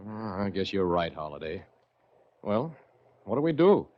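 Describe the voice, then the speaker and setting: A man's voice: low voice, very animated, normal speed. An actor in a 1940s radio drama playing a blunt, exasperated man held captive, speaking colloquially. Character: indignant, blunt jobless male captive. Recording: dull old radio recording.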